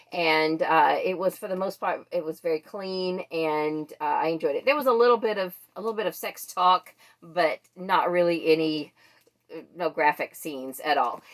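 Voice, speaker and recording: A woman book vlogger chatting casually and thinking aloud as she goes. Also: lowish voice. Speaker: book vlogger presenting a monthly reading wrap-up. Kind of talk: casual chat to camera.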